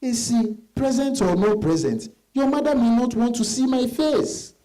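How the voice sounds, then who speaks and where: An actor with a West African accent playing a married suitor in dramatized novel dialogue, hesitant, coaxing and earnest. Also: male voice, very high-pitched, animated, normal speed, warm tone, low-quality recording. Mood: insistent. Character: smooth-talking married suitor, hesitant yet insistent husband.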